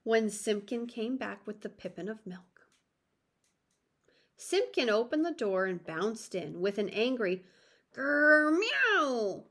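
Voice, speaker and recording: A woman's voice: mid-range voice, lively intonation, a little slow. A woman reading a children's story aloud expressively, with asides to the listener.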